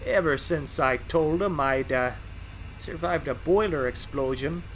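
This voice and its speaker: A man's voice: fairly high pitch, a little slow, heavy background noise, close to an everyday voice. A man voicing a boastful, charming male tank engine in an audio drama, with a jaunty, folksy manner.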